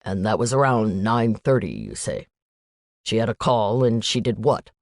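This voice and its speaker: A woman voicing a curt, businesslike male FBI agent in an audiobook, pitching her voice down. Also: very animated, normal speed, darkish tone, no room sound, full character voice.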